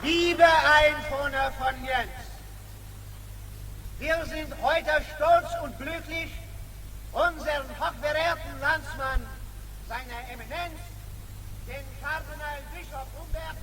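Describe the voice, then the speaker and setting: An actor playing a stiff, formal official making a public address in a radio drama. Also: male voice, extremely high pitch, steady tone, slow pace, long pauses, full character voice. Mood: ceremonial. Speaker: public orator. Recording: echoing public square, noisy crowd behind.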